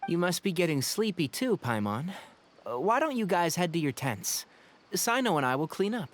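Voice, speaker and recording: A man playing a gentle, sensible young ranger in a video game, mild and dryly teasing. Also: high voice, big pitch swings, moderate pace, a little hiss or hum. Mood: considerate.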